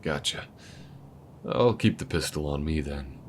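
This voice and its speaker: A man voicing a calm male alien gunslinger in an audio roleplay, speaking to the listener in a slow, folksy drawl with a patient, protective manner. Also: a bass voice, slight background noise. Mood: patient instruction. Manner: patient, steady instructing.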